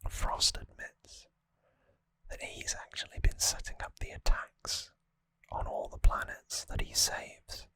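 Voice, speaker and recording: A person whispering an ASMR recap in a hushed, breathy, conversational way, close to the microphone. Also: slow pace, no echo. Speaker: ASMR host. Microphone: close, dry microphone.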